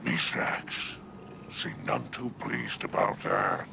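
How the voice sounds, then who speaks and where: Sly alien crime lord, very gravelly, slow smug drawl, wildly swooping pitch, video game. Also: male voice, wildly swooping pitch, background noise, full character voice. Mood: scheming. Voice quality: gravelly, growling. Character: sly, pompous male alien crime boss. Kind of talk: video game character acting.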